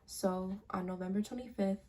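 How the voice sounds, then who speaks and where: A young woman giving a class presentation over slides, speaking casually with some halting and fillers. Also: mid-range voice, fairly even intonation, a little mellow. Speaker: student presenter.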